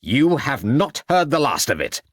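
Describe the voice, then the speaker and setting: Guy doing an angry blustery doctor for an audiobook, growly and threatening, super animated. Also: low-pitched, moderate pace, dry recording, studio quality, exaggerated voice. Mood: threatening, indignant. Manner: growling, snarling, shouted outbursts. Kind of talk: audiobook character acting.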